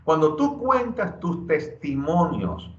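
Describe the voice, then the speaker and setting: Slow, earnest man in an echoey room. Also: fairly high pitch, sing-song. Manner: earnest, preacher-like, slows to stress key phrases. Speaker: workshop speaker. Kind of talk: motivational workshop talk. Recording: dull lo-fi audio in an echoey room.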